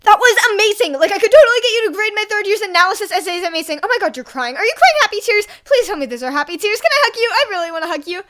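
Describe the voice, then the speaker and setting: A woman voicing a loud, excitable, gushing man who is a radio-host superhero in a story reading. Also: very high-pitched, very fast, hardly any pauses, pitched above the performer's own voice, heavily acted. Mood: excited, gushing. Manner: exclamatory, gushing.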